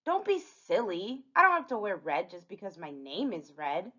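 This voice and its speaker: A woman's voice: mid-range voice, huge pitch swings, normal speed, pushed and bright, full character voice. A woman voicing a cheeky, questioning little girl in a storybook, on a dull recording. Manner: questioning, sassy. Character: cheeky, outspoken little fairy-tale girl.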